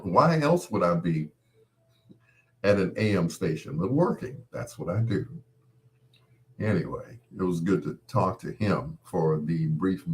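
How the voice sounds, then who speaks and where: A man with a fairly low voice talks as a show host in casual, rambling chat. He is folksy and playful, telling things as loose anecdotes addressed straight to his listeners. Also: a little mellow. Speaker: host of a late-night show.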